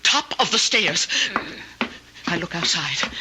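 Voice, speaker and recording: An actress playing a frightened, confused lonely woman in an old-time radio drama, halting and pleading as she repeats herself. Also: fairly low pitch, animated, background noise. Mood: frantic, pleading. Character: frightened, confused, fretful lonely woman insisting on her story.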